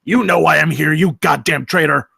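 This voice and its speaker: A hot-tempered Bigfoot, acted out by a man at a table read, big on threats and quick to whine like a hurt child. The voice is forceful and gravelly, rising into shouts in this comedy script, with a close, dry sound. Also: on the high side, dry recording, pitched above the performer's own voice, full character voice. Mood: indignant, blustering. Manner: blustering, shouting threats and whining. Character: hot-tempered, childish, oafish male Bigfoot, easily wounded.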